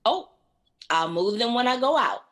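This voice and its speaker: A woman's voice: a little high, sing-song, moderate pace, fairly bright tone. A woman playing an earnest, outspoken younger sister in an audio drama, confrontational in manner. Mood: casual, guarded.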